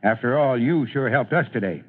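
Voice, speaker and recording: A man's voice: mid-range voice, a little fast, slightly rough, lightly acted. A man playing a kindly, folksy fire chief in an old-time radio drama, avuncular in manner. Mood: genial, encouraging. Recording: muffled narrow-band old radio recording.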